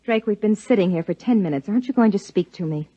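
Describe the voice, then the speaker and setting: An actress playing an anxious, probing wife who narrates a 1950s American science fiction radio play in a measured, dramatic way. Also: female voice, mid-range voice, lively intonation, noisy background, natural voice. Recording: dull vintage radio recording with music.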